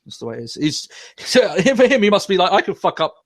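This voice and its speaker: A man's voice: high-pitched, very animated, fast, no echo, studio quality. Laid-back swearing young man host. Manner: profane, laughs often, rambling. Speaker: podcast host. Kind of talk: casual wrestling review chat.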